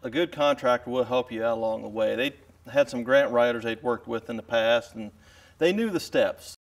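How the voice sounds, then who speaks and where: A middle-aged man farmer, interviewed in a hay barn, speaking in a relaxed, reassuring, plain way. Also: medium pitch, normal speed, gravelly.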